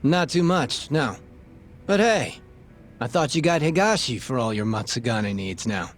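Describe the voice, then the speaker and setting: A man playing a sly crooked cop who sells information in a video game. He is glib and casual, in a mid-range male voice. Music runs quietly behind him. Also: medium pitch, expressive, a little slow, lightly acted. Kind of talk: video game cutscene acting.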